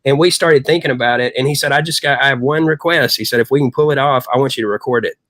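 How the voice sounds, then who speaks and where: A man's voice: mid-range voice, fast, a bit bright, dry recording. A middle-aged man telling casual anecdotes as a rock singer guest in a chatty, long-winded way.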